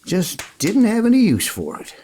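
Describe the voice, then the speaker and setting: A man's voice: sits high, wildly swooping pitch, normal speed, mellow, a little hiss or hum. A man playing an easygoing, kindly Texas cowboy with a hesitant, rambling folksy manner in a Western radio play. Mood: folksy, reminiscing. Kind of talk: old-time Western radio play acting. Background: plucked guitar music.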